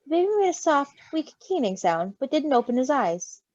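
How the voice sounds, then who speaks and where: A woman reading a story in an animated way, with giggly, casual asides. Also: high-pitched, moderate pace, no room sound. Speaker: story reader. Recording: slightly dull, close dry recording.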